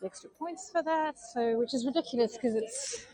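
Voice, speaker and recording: A woman's voice: a little high, normal speed, mellow, no room sound. A woman with a New Zealand accent chatting to her viewers on a live stream as she walks through city streets, sounding subdued and disappointed. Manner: talks to viewers while walking, subdued and disappointed. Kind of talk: casual live-stream chat while walking. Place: city streets outdoors at night.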